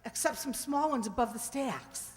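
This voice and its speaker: A high, swooping female voice, a woman acting as an excitable, devoted wife in a radio mystery. She sleuths beside her husband over clear background noise. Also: normal speed, long reverb tail, close to an everyday voice. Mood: hushed, tense.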